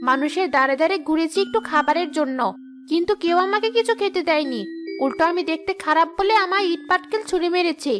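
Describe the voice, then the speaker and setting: A very high-pitched female cartoon ghost acted by a woman, brash and greedy. She grumbles and boasts bluntly, with music playing behind her. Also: fast. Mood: bitter, self-pitying. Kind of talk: animated cartoon dialogue.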